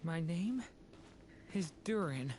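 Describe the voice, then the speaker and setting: A man playing a frail, confused young created being in a video game, halting and pleading. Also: a little high, animated, slight background noise. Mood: weak, confused. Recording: loud background music.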